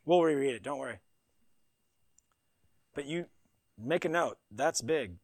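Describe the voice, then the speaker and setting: A man's voice: mid-range voice, expressive, unhurried, no room sound, clean studio sound. A man preaching a sermon, reading scripture with emphasis and breaking into conversational asides. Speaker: pastor.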